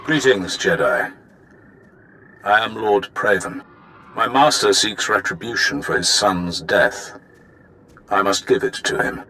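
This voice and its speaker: An actor playing a solemn, honor-bound Sith lord in a video game, speaking in a measured, formal, menacing way. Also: male voice, fairly low pitch, lively intonation, pushed and bright, slight background noise, exaggerated voice, poor audio. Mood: cold, formal. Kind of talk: dramatic video game acting.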